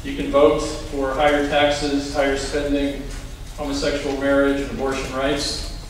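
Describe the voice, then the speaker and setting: A man giving a lecture at a political rally, with a wry, deadpan humor. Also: medium pitch, a little slow, slight background noise. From Hawaii. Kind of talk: lecture with dry jokes. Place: large hall before an audience. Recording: echoey hall from a distance.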